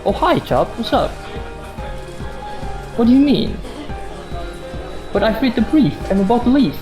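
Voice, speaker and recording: Male actor as defensive suspended husband, sardonic, very animated intonation, radio play, faint music behind. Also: very high-pitched, a little slow, lo-fi sound, full character voice. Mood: indignant. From Indonesia. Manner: sardonic, emphatic. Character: sarcastic, defensive suspended office worker husband. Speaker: actor in a student radio drama. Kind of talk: radio drama acting.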